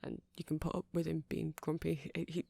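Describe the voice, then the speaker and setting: A man's voice: a little high, level tone, a little fast, gravelly, mellow, no echo, studio quality. A man playing a shy, earnest, nerdy vampire in an ASMR roleplay, speaking in a hesitant, rambling, stammering way. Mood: hopeful, friendly. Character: shy, earnest, nerdy male vampire running a music shop.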